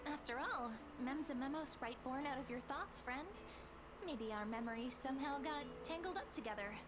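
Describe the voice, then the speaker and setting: A woman playing a gentle, earnest, slightly unsure young girl in a video game, speaking thoughtfully and a little hesitantly. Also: high voice, moderate pace, close to an everyday voice. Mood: puzzled, pensive. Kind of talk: scripted video game dialogue. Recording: clear noise behind the voice.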